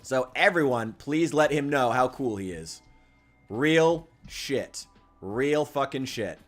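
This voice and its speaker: A young man live-streaming as he plays, chatting with viewers in a lively, profane way, sometimes hyped and sometimes muttering, his voice getting tired. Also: slow, faint music behind. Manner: animated, profane, swings between loud hype and low mutters. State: losing his voice. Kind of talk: casual live-stream chat while gaming.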